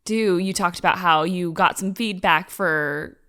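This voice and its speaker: A woman's voice: fairly low pitch, unhurried, bright, forceful tone, smooth. A woman chatting casually as a podcast co-host in an interview.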